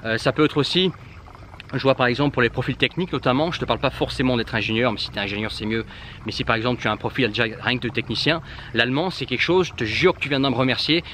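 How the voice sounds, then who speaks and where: A man's voice: mid-range voice, quick pace, fairly bright tone, slight background noise. A man whose first language is Germanic, giving casual language-learning advice straight to the viewer, with lots of fillers and restarts. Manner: informal, addresses the viewer directly, many fillers and restarts. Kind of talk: casual direct-to-camera advice.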